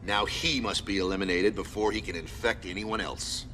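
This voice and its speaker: An actor playing a stern, authoritative military commander who gives clipped, formal mission briefings in a video game. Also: male voice, on the low side, steady tone, normal speed, sharp tone, big-room sound, a little hiss or hum. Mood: grave.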